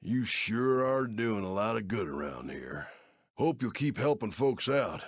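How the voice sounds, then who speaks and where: A man's voice: fairly low pitch, expressive, measured pace, husky, dry recording, studio quality, heavily acted. A man playing a folksy, aging, kindly but worried Old West sheriff in a video game, with an American Western accent and neighborly, drawling speech. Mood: friendly, grateful. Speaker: mission-giving game character. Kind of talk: video game character acting.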